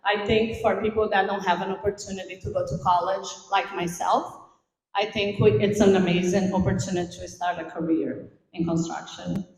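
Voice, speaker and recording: A middle-aged woman from Brazil with a Brazilian accent, giving an informal, animated motivational talk on stage in a large room. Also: medium pitch, normal speed. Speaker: invited event speaker. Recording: echoey hall.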